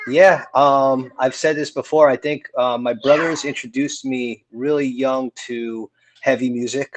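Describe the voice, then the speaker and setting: A man's voice: mid-range voice, fairly even intonation, unhurried, slight background noise, poor audio. A man in his forties, a hardcore band singer, talking casually as a podcast guest, rambling with many fillers and restarts. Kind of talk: casual interview chat.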